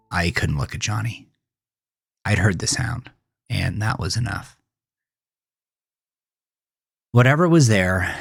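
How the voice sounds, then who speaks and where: Haunted teenage boy, low voice, brooding and halting horror confession, very dry close sound for audio drama. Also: creaky, breathy edge, dry recording, full character voice. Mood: grieving, subdued. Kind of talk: first-person horror audio drama narration.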